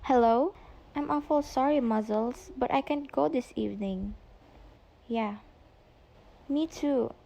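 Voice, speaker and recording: A boy-crazy teenage daughter played by a woman in an amateur radio play. Her voice sits high and she takes her lines slowly. She pleads and dramatizes like someone whose social life depends on it. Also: gravelly, warm tone, full character voice, rough recording. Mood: disappointed. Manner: pleading, melodramatic.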